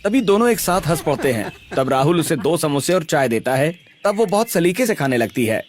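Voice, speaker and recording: A man's voice: fairly high pitch, very animated, fairly quick, slight background noise. A man reading scripted narration for a cartoon story.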